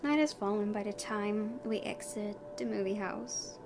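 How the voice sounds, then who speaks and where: A woman's voice: mid-range voice, unhurried. A woman reading visual novel narration aloud for a let's play, stumbling over words now and then and adding casual comments. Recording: clearly noisy home recording.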